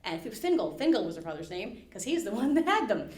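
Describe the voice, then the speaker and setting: A woman's voice: medium pitch, fast. Chatty American woman podcasting in echoey room. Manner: animated, slangy, jokey retelling with acted-out quotes. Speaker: podcast host. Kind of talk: casual podcast chat and storytelling. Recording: roomy, echoey indoor sound.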